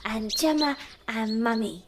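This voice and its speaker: High-voiced, kindly young girl. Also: lively intonation, a little slow, noisy background. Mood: playful, reassuring. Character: young girl, kindly, playful older sister. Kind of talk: audio drama acting.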